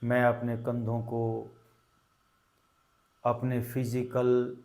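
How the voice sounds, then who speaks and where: A man with a medium-pitched voice leads a guided meditation. He speaks very slowly and deliberately, in short calming phrases. Long pauses separate his instructions, as a teacher would leave them for students to follow.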